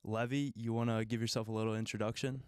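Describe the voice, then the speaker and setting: A man's voice: fairly low pitch, flat delivery, fast talker, slight background noise. A man with an American accent hosting a podcast in a casual, conversational interview style. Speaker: podcast host.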